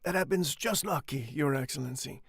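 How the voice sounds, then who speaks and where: Man doing a put-on Italian accent, fawning old innkeeper, obsequious, gravelly voice. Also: fairly high pitch, moderate pace, a bit bright, full character voice. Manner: obsequious, formal. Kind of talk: audiobook character reading.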